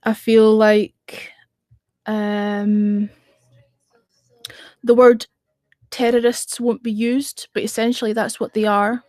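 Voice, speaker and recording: Casting: middle-aged woman, chatty radio host, higher-pitched, dry close sound. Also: level tone, slow, long pauses, a little mellow, dry recording. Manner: chatty, rambling, answering viewer comments. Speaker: radio show host on a livestream. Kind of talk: casual livestream chat.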